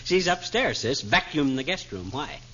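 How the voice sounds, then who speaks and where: An actor playing a bumbling, boastful middle-aged husband with blustery, folksy patter in old radio comedy style. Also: male voice, medium pitch, sing-song, moderate pace, sharp tone. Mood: patient, friendly. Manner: blustery, folksy, mock-learned. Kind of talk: 1940s radio comedy acting. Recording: noisy, muffled vintage radio recording.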